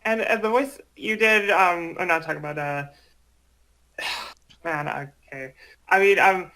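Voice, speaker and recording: Halting high-pitched man, bright creaky voice. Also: rough recording. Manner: halting, hesitant, repeats himself. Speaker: amateur voice project creator. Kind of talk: casual chat.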